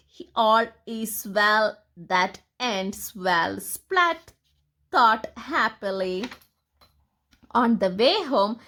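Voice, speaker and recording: A woman reading picture books aloud to young children in an expressive, deliberate storytelling manner. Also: mid-range voice.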